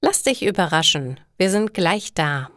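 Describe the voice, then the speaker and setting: A woman's voice: fairly low pitch, expressive, a little slow, fairly bright tone, no room sound, studio quality. A woman voicing a reassuring, playful father in a storybook reading, speaking in short simple phrases.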